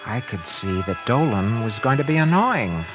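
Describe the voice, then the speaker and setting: An actor playing a cold, self-satisfied murderer in a vintage radio drama, with a clipped, smug, impatient delivery. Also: male voice, mid-range voice, sing-song, normal speed, lightly acted. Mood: smug, brooding. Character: cold, self-satisfied man who got away with murder. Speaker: actor narrating in first person as the lead. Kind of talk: 1940s-50s radio acting. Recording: dull vintage radio recording with background music.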